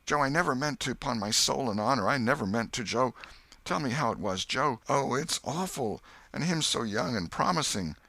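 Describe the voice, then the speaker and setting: Man acting a weak rustic drunkard, pitched up, wailing, pleading, pitiful, storybook character. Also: expressive, normal speed, gravelly, bright, forceful tone, no echo, heavily acted. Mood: panicked, dazed. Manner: begging, wailing, rustic speech.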